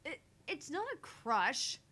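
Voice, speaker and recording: A woman's voice: lively intonation, moderate pace, a bit bright. A woman voicing a shy, easily embarrassed young woman in a visual novel, defensive and exasperated. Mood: flustered, defensive. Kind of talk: voice-acting visual novel dialogue and inner monologue. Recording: faint background rumble and noise.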